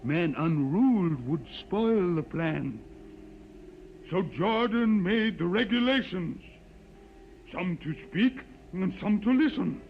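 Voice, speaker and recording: A man's voice: sits high, animated, slow pace, vocal fry, slight background noise, heavily acted. An actor playing a pompous, menacing old holy man aboard a starship, speaking in solemn liturgical declamation in a vintage science-fiction radio drama. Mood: solemn chanting. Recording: muffled old radio recording, music behind.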